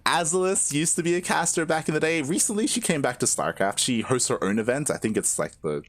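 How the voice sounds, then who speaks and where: A man's voice: fairly high pitch, expressive, a little fast, fairly bright tone. A man giving live game commentary in a chatty way, with lots of fillers and chuckles. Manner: conversational, filler-heavy, chuckling. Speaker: esports caster and producer. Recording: commentary over game music.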